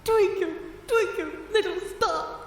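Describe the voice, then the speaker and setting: A man voicing a cruel parody creature, with a shrill, metallic, artificially high voice chanting in a repetitive, taunting singsong. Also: slow. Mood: manic mockery. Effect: heavily pitched-up voice. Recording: distant echoing hall under loud music.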